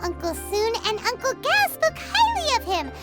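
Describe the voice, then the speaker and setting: A woman voicing a tiny, excitable female fairy companion in a video game, asking questions in a high, childlike way. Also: moderate pace, over loud music, exaggerated voice. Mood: puzzled. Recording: game score playing behind.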